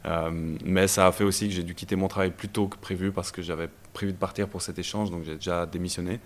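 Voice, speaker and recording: A young man from Belgium, a startup founder telling his story in a casual interview, relaxed and hesitant with many fillers. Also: low voice, quick pace. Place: startup incubator office.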